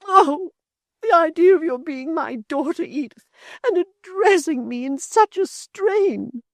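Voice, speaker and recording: A man voicing an aged, palsied mother in a storybook reading, shrill, whining and self-pitying. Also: sing-song, normal speed, a little mellow, dry recording, clean studio sound, heavily acted. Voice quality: shrieking, whining. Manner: querulous and theatrical. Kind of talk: audiobook character voice.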